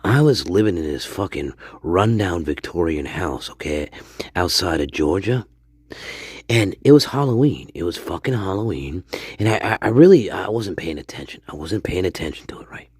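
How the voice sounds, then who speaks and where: A man voicing a crude, foul-mouthed storyteller named Stanley, rambling and swearing, often dropping into a hoarse whisper. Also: low voice, lively intonation, moderate pace, darkish tone, no room sound, studio quality, pitched below the performer's own voice. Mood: conspiratorial. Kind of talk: improvised character monologue.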